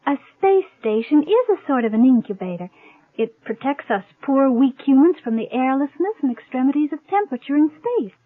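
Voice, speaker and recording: A woman's voice: high voice, sing-song, brisk, no room sound. An American woman acting a teasing, tender new mother in a 1950s science fiction radio drama. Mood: amused, teasing. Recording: tinny old radio broadcast recording.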